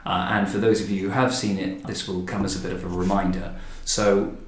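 A man's voice: low-pitched, flat delivery, brisk. A man hosting a conversational podcast interview about the historic house he directs. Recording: echoey room.

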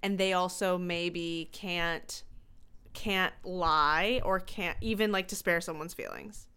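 A woman's voice: lowish voice, steady tone, takes it slowly, bright, forceful tone, smooth. Upbeat woman podcast host. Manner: upbeat, affirming interviewer. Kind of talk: casual podcast chat.

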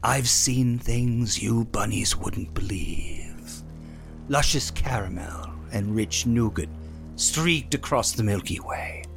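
A man's voice: mid-range voice, creaky, faint music behind. A man playing a melodramatic, menacing robot rabbit in a comic radio play, theatrical and easily irked. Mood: wistful, solemn. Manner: slow, theatrical, petulant when interrupted. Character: menacing, melodramatic male chocolate robot rabbit villain, wistfully dying.

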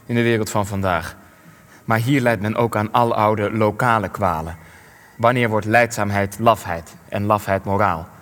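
Radio play role: male actor as old veteran, deep-ish, deliberate, defensive and tired, with long hall reverb. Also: steady tone, a bit bright, close to an everyday voice. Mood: bitter, reflective. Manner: deliberate, rhetorical, halting with hesitations. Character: weary, defensive elderly war veteran being interviewed. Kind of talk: Dutch radio play acting.

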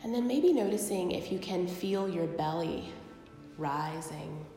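A woman leading a guided body scan meditation, speaking gently and unhurriedly with long pauses. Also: lowish voice, lively intonation, soft background music. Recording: very echoey live recording, long reverb.

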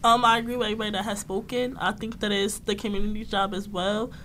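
A woman's voice: mid-range voice, flat delivery, fast, fairly bright tone, slight background noise. A twenty-year-old woman, a guest on a talk show, agreeing with the others in a casual way full of fillers. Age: 20s. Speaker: guest entrepreneur.

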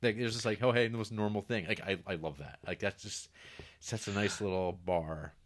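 Low-voiced man chatting about a film. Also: steady tone, normal speed. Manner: chatty, swears, acts out quotes. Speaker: podcast co-host. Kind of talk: casual film discussion.

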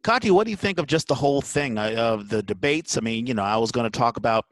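A man's voice: medium pitch, lively intonation, quick pace, dry recording. A man hosting a casual podcast chat, talking in a rambling, stammering way that trails off into asides. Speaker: podcast host.

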